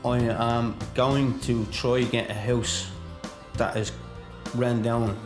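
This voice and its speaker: A man's voice: mid-range voice, fairly even intonation, slow, music in the background. A man from inner-city Dublin with a thick Irish accent, giving an informal personal account as a client of a charity. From Dublin, Ireland. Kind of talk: informal personal testimony to camera.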